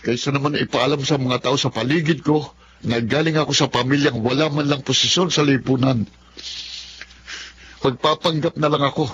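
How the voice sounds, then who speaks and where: An actor with a Filipino accent playing an ashamed, defiant young son in an emotional radio drama, speaking earnestly. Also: male voice, medium pitch, steady tone, moderate pace, a bit bright, a little hiss or hum, lightly acted. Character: ashamed, defiant young son hiding his family's poverty. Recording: muffled radio drama, music underneath.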